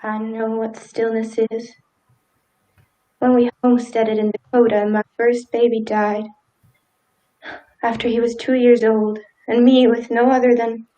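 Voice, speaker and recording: Muffled radio drama role: timid, dutiful wife, played by a woman, high soft-toned voice, hesitant and grieving. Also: level tone, unhurried, pauses often, lightly acted. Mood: haunted, dutiful. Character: timid, dutiful sheriff's wife, haunted by grief. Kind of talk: stage-like radio play acting. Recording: slightly dull, muffled sound.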